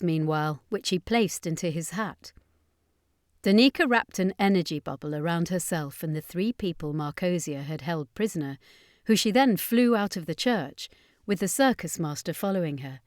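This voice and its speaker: A woman's voice: lowish voice, animated, normal speed, no echo. Woman narrating, close and dry. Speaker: narrator of a horror fantasy story. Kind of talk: scripted narration, audiobook storytelling.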